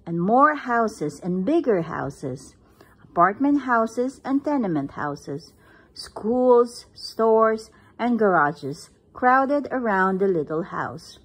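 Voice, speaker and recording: A woman's voice: a little high, very animated, slow, breathy edge. A woman reading a picture book aloud at home, recorded on a phone camera above the book. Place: indoors, at home.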